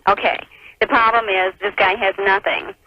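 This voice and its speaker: A woman's voice: mid-range voice, steady tone, normal speed, slight background noise. An American woman with a mid-range voice calls in to a radio advice show. She talks casually and conversationally. The line is dull and muffled, like a cheap telephone heard over the radio. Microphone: cheap telephone handset.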